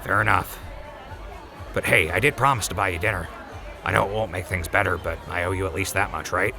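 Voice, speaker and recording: A man's voice: low-pitched, brisk, gravelly, music in the background, a crowd in the background. A man voicing an earnest, remorseful young man who pleads and apologizes in an audio drama. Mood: apologetic.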